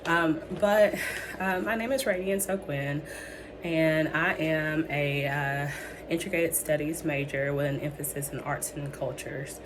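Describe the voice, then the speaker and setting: A woman's voice: sits low, steady tone, unhurried. A young woman who is losing her voice, giving a hesitant student presentation full of ums and restarts. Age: young adult. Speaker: student presenting a capstone project. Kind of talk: presentation with many fillers. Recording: noisy background ambience.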